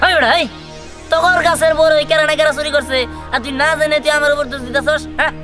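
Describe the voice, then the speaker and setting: A woman's voice: high-pitched, fairly even intonation, fast talker, music in the background, natural voice. A woman playing a cocky, boastful village boy in a fairy-tale drama, taunting. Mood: defiant, taunting.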